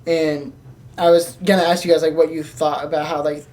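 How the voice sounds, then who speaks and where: A woman's voice: low voice, level tone, brisk, darkish tone, rough recording. A woman co-hosting a podcast in casual conversation with the other hosts. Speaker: podcast co-host. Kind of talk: casual chat.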